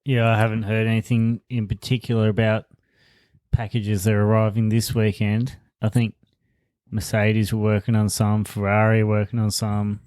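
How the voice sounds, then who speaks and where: A man's voice: fairly low pitch, completely flat delivery, normal speed, a little mellow, clear, resonant tone, no echo. A man co-hosting a sports podcast in an informal, somewhat hesitant chatty way. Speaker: podcast co-host. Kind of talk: casual sports chat.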